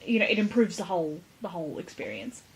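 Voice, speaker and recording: A woman's voice: lowish voice, big pitch swings, fast, low-quality recording. A woman co-hosting a podcast, chatting casually and cracking wry jokes. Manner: wry, joking. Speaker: podcast co-host. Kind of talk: casual comedic chat.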